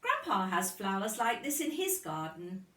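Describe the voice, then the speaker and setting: A woman reading a children's storybook, voicing an eager, excited little girl at school. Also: mid-range voice, moderate pace. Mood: chatty, proud. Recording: roomy, distant microphone.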